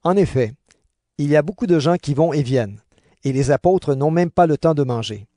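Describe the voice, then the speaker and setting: A man giving scripted narration for an audio Bible drama in a measured storytelling manner. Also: on the high side, expressive, dark tone, no echo, studio quality. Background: background music.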